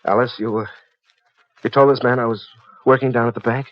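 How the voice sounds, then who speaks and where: A man's voice: medium pitch, fairly even intonation, brisk, natural voice. A man playing an uneasy, short-tempered husband with a hesitant, edgy manner, in 1950s radio drama style. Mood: uneasy, snappish. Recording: dull old radio broadcast recording.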